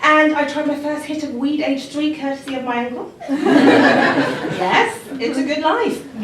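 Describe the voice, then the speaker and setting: Lively high-voiced woman doing stand-up. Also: background noise. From Jamaica. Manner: wry, self-mocking, laughing at her own lines. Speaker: stand-up comedian. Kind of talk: stand-up comedy set. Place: comedy club stage. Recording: echoey club from far off. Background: audience laughter.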